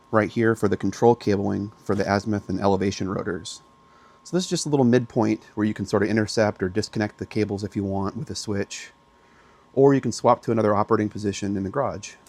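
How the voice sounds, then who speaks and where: Low-voiced man giving a casual tour. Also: darkish tone. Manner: explanatory, plain-spoken. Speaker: host, amateur radio hobbyist. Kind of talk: casual walk-through tour. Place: outdoors by a house, then garage and small radio room.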